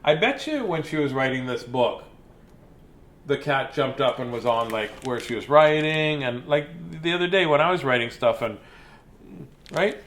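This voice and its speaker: A man's voice: medium pitch, lively intonation, normal speed, a bit bright. A man hosting an informal children's read-aloud, playful and rambling, often breaking off to comment on the book. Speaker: children's story reader and host.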